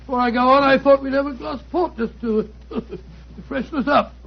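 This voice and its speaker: A genial old doctor in a vintage radio play. His voice is very high for a man and creaky, with an avuncular, bumbling manner. The recording is dull, lo-fi 1940s radio. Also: normal speed, a little hiss or hum. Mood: genial storytelling. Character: genial older English doctor telling stories, playing Santa.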